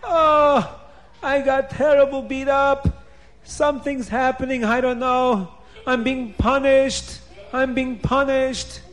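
A man voicing a wicked, self-pitying storybook king who wails and complains in drawn-out cries. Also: very high voice, fairly even intonation, a bit bright, voice pushed up, full character voice. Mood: woeful wailing. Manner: wailing, drawn-out cries of woe.

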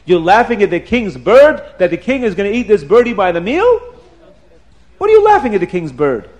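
A man voicing an excitable, bossy, easily offended king in a children's storybook tale, with demanding, repetitive questioning. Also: high voice, moderate pace, pitched above the performer's own voice. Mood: indignant.

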